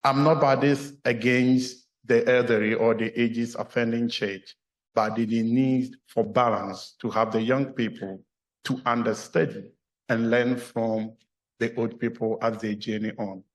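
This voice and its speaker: A man from Ghana with a Ghanaian accent, a clergyman giving a measured, earnest formal address at a church meeting. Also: medium pitch, steady tone, rough recording.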